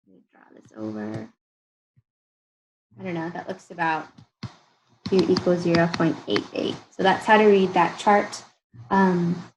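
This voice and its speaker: Tired, croaky woman lecturing. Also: mid-range voice, a little hiss or hum, poor audio. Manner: explains step by step in a relaxed, informal way. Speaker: engineering instructor. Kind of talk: recorded lecture over slides.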